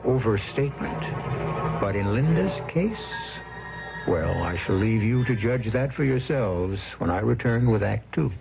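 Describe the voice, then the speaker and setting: An ominous yet droll host of gothic mystery tales. His gravelly voice is measured and theatrical. The radio recording is dull, with loud music playing behind him. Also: lowish voice, animated. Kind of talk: scripted radio mystery narration.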